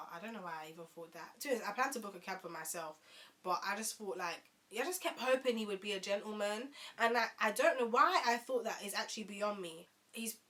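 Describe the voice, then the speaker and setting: A young woman, telling a storytime vlog in an animated, indignant way and sometimes swearing. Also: a little high. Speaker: vlogger.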